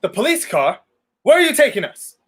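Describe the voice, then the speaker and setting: Actor as earnest young wrongly accused gentleman. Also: extremely high pitch, sing-song, brisk, heavily acted. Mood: alarmed protest. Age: young adult. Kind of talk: 1930s radio play acting.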